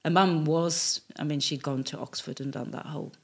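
A woman's voice: sits low, fairly even intonation, normal speed, husky. A woman in her fifties, born in Tanzania and raised in Sweden, chatting casually about her life, laughing often and trailing off mid-sentence. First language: English first, then Swedish. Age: 50s. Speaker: guest telling her life story. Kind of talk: casual conversational storytelling.

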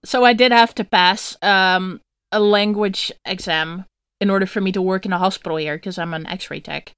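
A woman's voice: mid-range voice, normal speed, clean tone, no echo. A young woman whose first language is Dutch, chatting as an interview guest, laughing often and acting out what others said. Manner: chatty, laughs often, quotes people in lively voices. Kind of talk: casual interview chat.

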